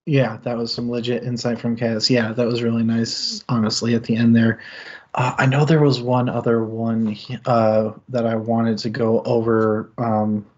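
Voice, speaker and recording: A man's voice: mid-range voice, level tone, moderate pace, darkish tone. A man in the middle of his range talks through a book on a live stream. He thinks aloud in long, winding sentences and laughs often. The sound is muffled and lo-fi. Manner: thoughtful, rambling, with frequent laughs. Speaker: book club stream host. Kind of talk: casual book discussion.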